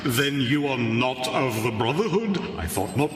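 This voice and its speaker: Tipsy, animated nobleman acted by a man, echoing hall. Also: medium pitch, a little hiss or hum, close to an everyday voice. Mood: haughty. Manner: halting, chuckling, exclamatory. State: drunk, as acted. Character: jovial, tipsy, vain nobleman and wine lover. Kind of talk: theatrical gothic radio drama acting. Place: large church hall, live before an audience. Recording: echoing cavernous hall, live recording.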